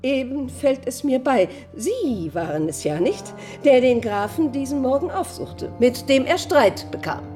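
Distraught, high-voiced noble mother. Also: animated, measured pace, hardly any pauses, a little mellow, soft background music. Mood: suspicious, accusing. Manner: tragic, accusing, declamatory. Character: distraught, fierce noble mother seeking her daughter. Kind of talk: mid-century German radio drama acting.

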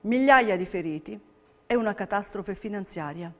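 A woman's voice: mid-range voice, animated, moderate pace. A woman narrating a scripted theatre piece with emphatic, dramatic storytelling. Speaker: stage narrator.

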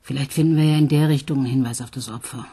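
An actress playing a brisk, dryly ironic police detective in a radio crime drama. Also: female voice, a bass voice, steady tone, warm tone, breathy edge, exaggerated voice. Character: busy female murder detective, dry and brisk.

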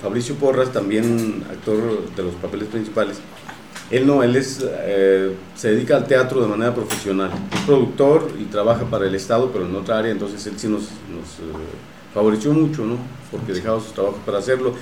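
A man with a Mexican accent talking casually as an interview guest about his radio work, often halting to search for words. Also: on the low side, a little mellow. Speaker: radio drama producer and guest. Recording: roomy echo with faint noise.

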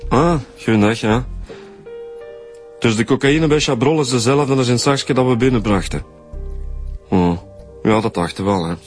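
An actor playing an easygoing male detective with a Flemish accent, calmly coaxing a witness in a radio drama. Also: mid-range voice, moderate pace, pauses often, faint music behind, natural voice. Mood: phoning. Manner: calm, soothing.

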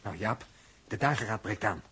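A man's voice: lowish voice, lively intonation, normal speed, a bit bright, slightly rough, full character voice. A man playing an alert, wry Earthman under siege in a 1960s radio play, on a faintly noisy old recording. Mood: tense, wry.

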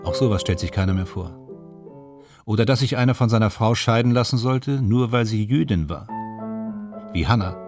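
A man performs as a low-voiced actor of 1930s Germany in a radio drama. He is vain and wistful, musing theatrically in clipped phrases. Music plays clearly behind him. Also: moderate pace, pauses often, lightly acted. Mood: melancholy reminiscing. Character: vain, wistful male film and stage actor in Nazi-era Germany. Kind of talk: radio drama monologue and dialogue.

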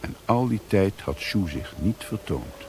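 An actor playing a reflective adventurer who narrates his journey in a radio play, in measured, sober, literary phrases. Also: low-pitched, lively intonation. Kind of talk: scripted first-person radio play narration. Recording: hissy old radio play recording.

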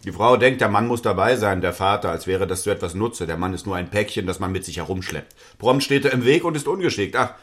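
A man's voice: on the low side, expressive, fast, hardly any pauses, lightly acted. A man playing a brusque, self-important lawyer and father in a stage comedy, dry, sarcastic and impatient. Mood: impatient, cynical. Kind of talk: stage comedy dialogue in German audio drama.

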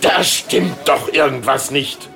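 An actor playing a blunt, scheming, stubborn sausage factory boss in a radio play, with a Ruhr area German accent. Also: male voice, mid-range voice, takes it slowly, creaky, pushed and bright, soft background music, exaggerated voice. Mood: aggrieved, suspicious. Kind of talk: colloquial radio play dialogue.

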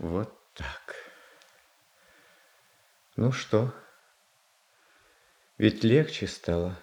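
An actor playing a gentle, pious, childlike tsar who longs for peace, speaking tenderly and haltingly in an audio tragedy. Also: male voice, fairly low pitch, vocal fry, heavily acted. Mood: tender, relieved. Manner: tender, halting, earnest delivery. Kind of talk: classical Russian verse tragedy in an audio play.

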